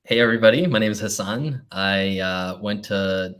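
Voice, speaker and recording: A man in his twenties from Morocco introducing himself on a video call in a soft, somewhat hesitant way. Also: lowish voice, moderate pace, clean tone. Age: 20s. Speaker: developer relations at a startup. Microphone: video call microphone.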